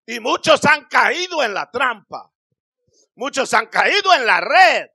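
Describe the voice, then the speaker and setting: A middle-aged male preacher delivers a sermon into a handheld mic in a small church hall. His voice is bright and forceful and moves slowly, stretching each phrase. His pitch swings widely and he breaks into shouts for emphasis. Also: very animated, vocal fry, husky. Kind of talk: Spanish-language Pentecostal sermon. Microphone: handheld microphone.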